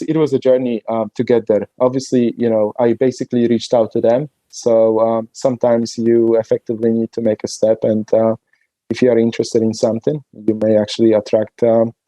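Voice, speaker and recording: A man from Poland, speaking as a podcast guest in a relaxed, hesitant way with many fillers. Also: medium pitch, dry recording, low-quality recording. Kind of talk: conversational podcast interview.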